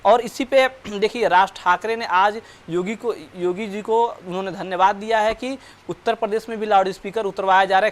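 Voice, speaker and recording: A man reporting news live and explaining unscripted, in a halting way, often repeating and correcting his words. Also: high voice.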